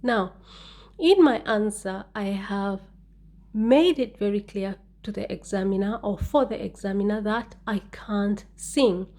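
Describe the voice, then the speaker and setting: A woman teaching an English speaking-exam lesson, slowly repeating model answers and explaining them step by step. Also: mid-range voice, dark tone.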